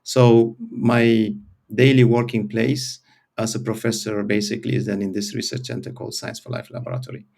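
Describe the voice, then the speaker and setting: A man in his forties from Eritrea and Sweden, a professor explaining things in an interview in a measured, hesitant way with many pauses. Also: fairly low pitch, gravelly, a little mellow. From born in Eritrea, grew up in Sweden.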